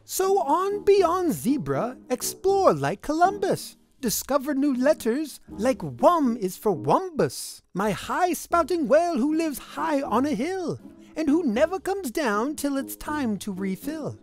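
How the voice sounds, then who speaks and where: A woman's voice: sits high, big pitch swings, slow pace, darkish tone. A woman reading a rhyming children's picture book aloud in a playful, theatrical, sing-song way. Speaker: storybook reader. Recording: music behind the voice. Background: music.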